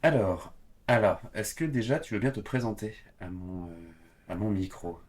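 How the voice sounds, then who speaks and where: A man with a fairly low voice acts as interviewer. He puts his questions casually, in informal and slightly tentative phrases. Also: lively intonation, normal speed, heavy background noise.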